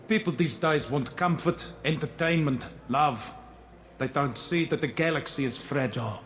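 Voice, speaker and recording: A man performs a self-important crime lord from a video game. He speaks in a fairly high male register with polished, pompous phrasing. Music plays clearly behind his dramatic lines. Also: natural voice. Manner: pompous, smooth-talking. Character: suave, self-important crime boss hosting a party. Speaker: villain character in a video game. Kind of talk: dramatic scripted game dialogue. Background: background music.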